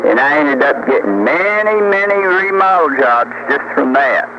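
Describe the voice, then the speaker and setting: A man's voice: fairly high pitch, expressive, moderate pace. Old guy rambling and bragging on cb radio, folksy, muffled sound with lots of noise. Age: older. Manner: boastful, folksy, repeats rhetorical questions. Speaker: storyteller on CB radio. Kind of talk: rambling casual CB radio chat. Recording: noisy muffled CB radio tape.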